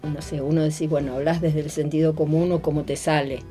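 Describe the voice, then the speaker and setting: An Argentine woman speaks in a relaxed, low, mellow voice. She reflects casually, as in an interview, feeling for her words while music plays behind her. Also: fairly quick. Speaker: former radio drama performer, interviewee.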